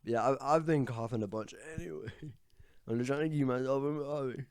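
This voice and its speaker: A man's voice: medium pitch, a little fast, a little mellow, clean tone, no room sound, studio quality. A young man co-hosting a podcast in casual joking chat, still coughing as he recovers from an illness and laughing often. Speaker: podcast co-host.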